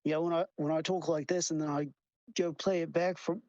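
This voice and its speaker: A man's voice: fairly high pitch, fairly even intonation, brisk, darkish tone, no room sound, highs cut off. A man in an online voice chat argument, stammering and restarting as he defends himself. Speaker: debate participant defending himself.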